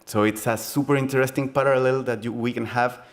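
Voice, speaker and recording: A young man with a Chilean accent, giving a conference talk on game design in a halting, earnest way, full of fillers and restarts. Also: mid-range voice, steady tone. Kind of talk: conference presentation.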